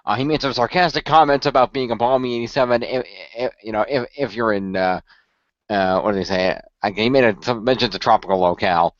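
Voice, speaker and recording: Homem contando histórias, som abafado. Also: male voice, medium pitch, brisk, no echo. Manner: rambling storyteller with many restarts. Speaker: podcast co-host. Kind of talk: casual recap. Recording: muffled dry lo-fi audio.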